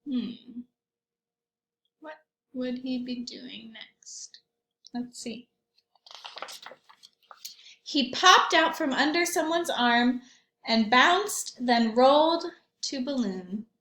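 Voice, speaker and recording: Unhurried, higher-pitched woman reading to children. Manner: expressive, simple child-directed phrasing. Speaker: teacher reading aloud to young children. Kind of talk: storybook read-aloud with questions and comments. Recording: roomy echo.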